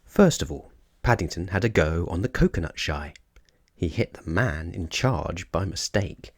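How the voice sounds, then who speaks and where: A man narrating a children's storybook as an expressive storyteller. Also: low voice, normal speed, gravelly, slightly rough, dry recording, clean studio sound.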